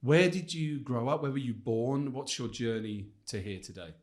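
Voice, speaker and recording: A man's voice: medium pitch, expressive, normal speed, a little mellow. Enthusiastic male podcast host. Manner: enthusiastic, hesitant with many fillers and restarts. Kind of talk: podcast interview hosting. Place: studio in Johannesburg.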